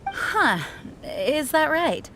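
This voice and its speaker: A woman playing a dutiful young knight commander in a video game, speaking in a measured, formal and earnest way. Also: high-pitched, big pitch swings, pushed and bright, a little hiss or hum, heavily acted, rough recording. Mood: gentle. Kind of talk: video game character acting. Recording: music behind the voice.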